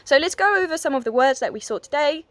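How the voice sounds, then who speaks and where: A woman's voice: high voice, expressive, quick pace, dry recording. A young woman, raised speaking French and English, teaching a beginner French lesson and carefully modeling each word. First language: French and English bilingual. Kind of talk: language lesson.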